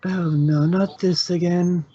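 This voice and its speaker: A woman's voice: lowish voice, fairly even intonation, slow pace, warm tone, dull audio. A woman voicing a blunt, regal pony princess who speaks formally and brusquely in a storybook reading. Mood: exasperated.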